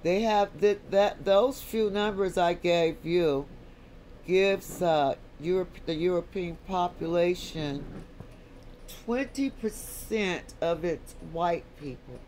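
An American speaker delivers an unscripted lecture as a voice-over on a screen recording. The pace is unhurried and the pitch sits in the middle. Key points come back again and again, with a faint hum of ambience underneath. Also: a little mellow, poor audio. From United States. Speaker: video blogger. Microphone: voice-over, not recorded in the pictured place.

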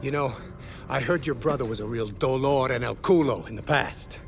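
A man playing a corrupt police officer in a video game, mocking and unhurried as he threatens people. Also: medium pitch, vocal fry, close to an everyday voice. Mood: mocking menace. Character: menacing, smug corrupt cop. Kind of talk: video game cutscene acting. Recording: music behind the voice.

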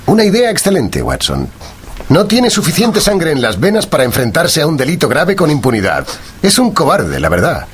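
Radio drama detective: stern and sardonic, very husky, with a widely swinging delivery. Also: male voice, fairly high pitch, wildly swooping pitch, full character voice. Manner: commanding, measured, sardonic. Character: stern, sardonic male detective questioning a culprit.

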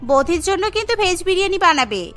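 A woman's voice: very high-pitched, sing-song, fairly quick, fairly bright tone, heavily acted. A woman voicing pushy, entitled young brides who exclaim and complain in a cartoon story. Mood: eager, demanding. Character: demanding, entitled young sisters-in-law, newly married brides. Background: background music.

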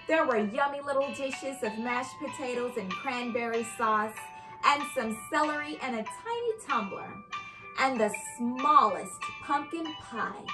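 A young adult woman reading a children's story aloud with expressive, carefully enunciated storytelling delivery. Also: fairly high pitch, rough recording. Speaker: host and reader of children's read-aloud show. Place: indoor living room by a fireplace.

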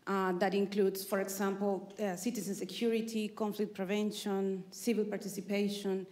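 A woman from Honduras with a Spanish accent, speaking English as a panelist on stage, halting and searching for words. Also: mid-range voice, little pitch movement, a little mellow. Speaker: panelist, peacebuilder. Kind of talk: panel answer. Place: large theater stage. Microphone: handheld mic. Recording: roomy theater sound.

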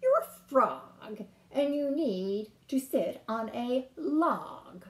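A woman's voice: fairly high pitch, very animated, drawn-out words, pitched above the performer's own voice, exaggerated voice. Woman doing a bossy storybook cat.

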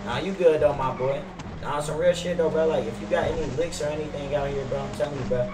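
Young American male game streamer, slightly high-pitched, casual sweary slang, roomy and distant with car noise behind. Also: fairly even intonation, fast talker. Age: young adult. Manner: slangy, swearing street talk. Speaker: streamer playing an online role-play game. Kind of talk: casual in-game banter and chat. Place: indoors at a gaming desk. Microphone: gaming headset. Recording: roomy headset audio with clear background noise. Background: game car and street ambience.

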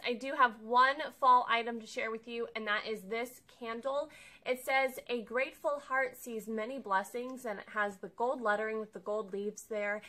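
Young woman, haul vlogger, bright and slightly high voice, chatty and upbeat, close to the camera in a living room. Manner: chatty, upbeat, explains each item. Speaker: vlogger showing a shopping haul. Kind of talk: casual haul vlog. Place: living room with a sofa, indoors.

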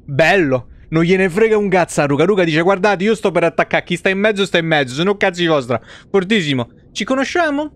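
A young man doing casual, animated live game commentary in slangy language with frequent swearing. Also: sits high, brisk, few pauses. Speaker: game streamer.

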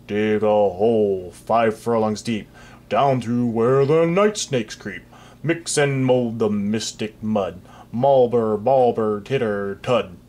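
Storybook magicians droning their spell in a low, slow sing-song, as a man voices them. The chant sounds deep and mysterious. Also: a little mellow. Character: chanting group of male magicians.

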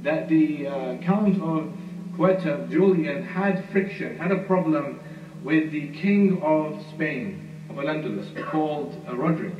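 A man giving a history lecture, telling the story at an unhurried storyteller's pace with frequent "uh" fillers. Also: on the high side. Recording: muffled, roomy, far from mic, noisy.

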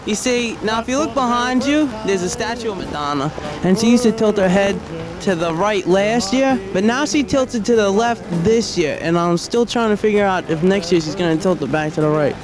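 A man answering with deadpan jokes as a guest. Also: high-pitched, a little fast, hardly any pauses, over music. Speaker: interviewee. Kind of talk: deadpan joking.

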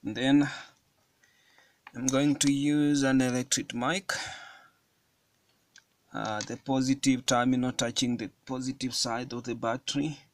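A man giving a hands-on electronics tutorial at a table, explaining each step slowly with frequent fillers. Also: mid-range voice, fairly even intonation. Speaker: electronics hobbyist demonstrating a circuit. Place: indoors at a wooden table.

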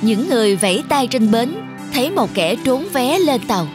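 A woman's voice: a little high, very animated, moderate pace. Woman narrating a children's story over music.

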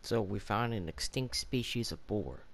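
A man's voice: lowish voice, animated, slow pace, vocal fry, slight background noise. A man giving casual commentary on a video game. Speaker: gameplay commentator.

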